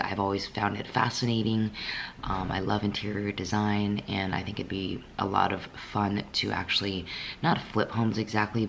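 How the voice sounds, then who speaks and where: A young woman with a raspy voice, just woken up, talking candidly in a casual vlog from her apartment, with many fillers. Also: extremely low pitch, a little hiss or hum. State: just woke up. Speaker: fitness vlogger.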